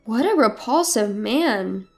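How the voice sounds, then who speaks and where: A woman's voice: on the high side, animated, slow pace, creaky, a little mellow. A woman reading a scripted first-person dramatic story as its narrator. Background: background music.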